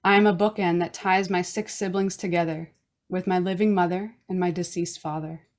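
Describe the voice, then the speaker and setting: A woman from Newfoundland hosting a wellness podcast, reading a reflective personal statement in a slow, earnest way. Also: fairly low pitch, steady tone. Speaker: podcast host. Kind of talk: reading a personal land acknowledgment and intro.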